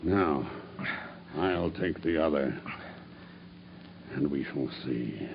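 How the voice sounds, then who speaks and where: An actor playing a grim, vengeful murderer making a deliberate, matter-of-fact confession in a radio drama. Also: male voice, extremely low pitch, animated, gravelly, full character voice. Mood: menacing. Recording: dull radio drama recording with background noise.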